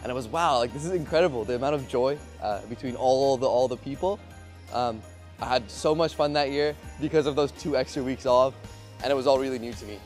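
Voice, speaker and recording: A young man outdoors in a city plaza, telling a story in a halting way with many fillers, recorded on a lapel mic. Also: mid-range voice, soft background music. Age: young adult. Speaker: street interviewee. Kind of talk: casual storytelling.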